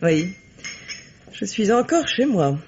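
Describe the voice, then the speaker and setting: An actress playing a poised bourgeois lady in a French radio mystery, husky, polite and arch, coolly evasive. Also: mid-range voice, very animated, takes it slowly, a little mellow, a little hiss or hum. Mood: cool, ironic. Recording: dull old radio recording.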